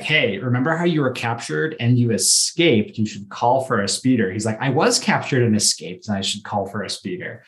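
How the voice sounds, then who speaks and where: A man chatting through a casual plot recap as a podcast co-host, telling it in an animated way with acted-out dialogue. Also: medium pitch.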